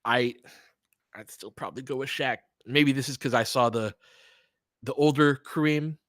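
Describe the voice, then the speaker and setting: A man's voice: mid-range voice, normal speed, fairly bright tone, no room sound. Man chatting casually about sports, very dry sound. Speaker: host of an audio play-by-play fan stream. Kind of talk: casual sports chat, answering listeners during play.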